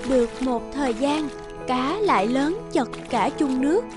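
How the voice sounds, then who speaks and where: A woman's voice: high-pitched, animated, unhurried, over music. A woman reading scripted narration for a children's fairy tale.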